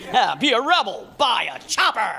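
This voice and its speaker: An older man from New York City doing stand-up comedy, emphatic and animated, performing to a crowd. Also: high-pitched, moderate pace, vocal fry. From New York City, United States. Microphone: stand microphone on stage. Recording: noisy comedy club with audience.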